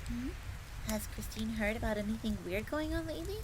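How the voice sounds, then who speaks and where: A woman's voice: on the high side, expressive, a little fast, natural voice. A woman voicing a friendly, devoted fox maid with a chatty, playful, coy manner in a roleplay audio drama. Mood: chatty, curious. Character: friendly, devoted fox maid speaking of herself in third person. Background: rain and crackling fire.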